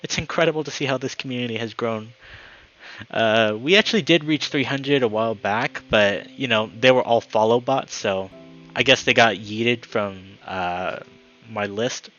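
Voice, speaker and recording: A man's voice: mid-range voice, moderate pace, dull audio, faint music behind. A man speaking as a live streamer, moving between friendly chat and reading a story aloud. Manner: upbeat, friendly, excitable when greeting viewers.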